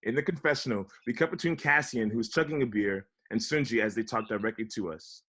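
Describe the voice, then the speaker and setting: A man's voice: medium pitch, a little fast, a bit bright, breathy edge. A man narrating the stage directions at a table read of a comedy script.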